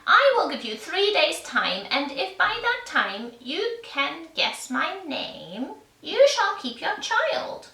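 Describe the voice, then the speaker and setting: Woman putting on a loud, taunting little fairy-tale mannikin. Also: very high-pitched, big pitch swings, slow pace, vocal fry, pitched above the performer's own voice, full character voice. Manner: taunting, emphatic, singsong questions and refusals. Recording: echoey room, distant microphone.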